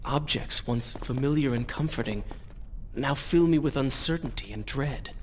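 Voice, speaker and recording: An actor playing a troubled, earnest young prince in a dramatic video game. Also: male voice, mid-range voice, moderate pace, a little hiss or hum, close to an everyday voice. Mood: brooding, uneasy. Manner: earnest, brooding. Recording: loud music behind the voice.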